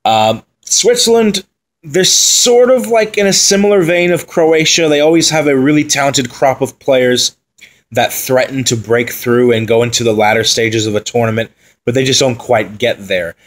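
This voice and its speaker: A man's voice: mid-range voice, normal speed. Casual man previewing a football side. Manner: conversational, with fillers and asides. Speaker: football pundit. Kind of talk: solo commentary on team previews.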